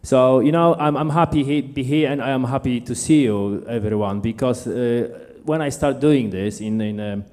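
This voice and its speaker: Polish-accented man, halting English. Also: medium pitch, a little mellow. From Poland. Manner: halting, broken English with many fillers. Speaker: audience member, beekeeper. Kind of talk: Q&A comment at a convention. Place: large lecture hall.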